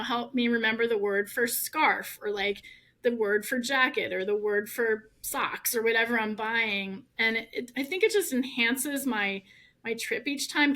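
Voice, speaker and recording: Woman, middle-aged, bright ringing voice, slightly high, chatty and animated podcast guest. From United States. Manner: animated storyteller, laughs often, fast and chatty. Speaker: podcast guest, law professor and writer. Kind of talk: casual interview chat.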